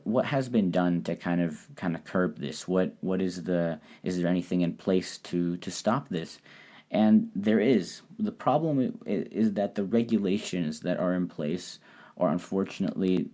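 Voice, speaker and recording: A man with a very low, deep voice hosts a solo podcast. He explains things in a measured, steady way, working through each point with care. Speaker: podcast host. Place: lives in Japan.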